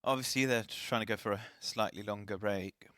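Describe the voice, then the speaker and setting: A man casting a live esports match, chatty and self-deprecating, his voice slightly raspy. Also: on the low side, steady tone, fairly quick, sharp tone, no room sound. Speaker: esports caster.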